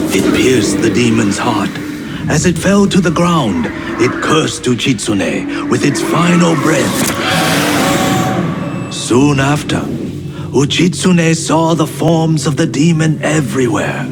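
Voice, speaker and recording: A solemn storyteller of old legends, speaks slowly with a lively, swooping pitch. His delivery is measured and dramatic, like game narration, over prominent music. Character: solemn male storyteller recounting ancient Japanese legends. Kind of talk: scripted narration of legendary tales. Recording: music behind the voice.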